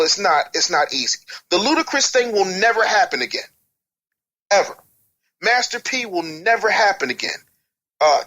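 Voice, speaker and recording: Blunt man with a bright, forceful voice. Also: high voice, low-quality recording. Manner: blunt, opinionated, slangy. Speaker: radio personality interviewed as a guest. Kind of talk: emphatic, candid interview talk.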